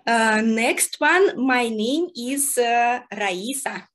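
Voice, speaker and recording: A woman's voice: fairly high pitch, lively intonation, drawn-out words, a bit bright. A woman who is not a native English speaker, giggly and cheerful, chatting casually in a language tutorial as host and learner. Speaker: amateur radio host learning Japanese.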